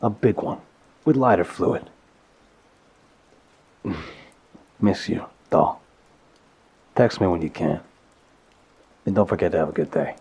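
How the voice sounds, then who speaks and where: A man playing an apologetic, smitten mafia boss in an intimate audio roleplay, tender and wryly self-deprecating, with a husky voice. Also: low-pitched, animated, slow, pauses often, darkish tone, heavily acted. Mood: fond. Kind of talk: intimate ASMR roleplay, conversational voicemail monologue.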